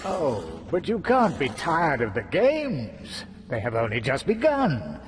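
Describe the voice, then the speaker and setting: An actor playing a gleeful, taunting trickster villain in a video game, with mocking, theatrical relish. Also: male voice, mid-range voice, huge pitch swings, slow pace, very noisy. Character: gleeful, taunting male trickster schemer holding court.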